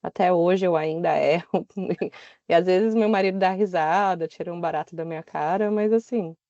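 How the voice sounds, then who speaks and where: A Brazilian woman in her thirties talks in a casual, conversational way with a mellow, relaxed voice. The sound is dry and close, though a bit dull and lo-fi. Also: on the low side, normal speed, no echo. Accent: Brazilian Portuguese. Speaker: podcast guest living abroad.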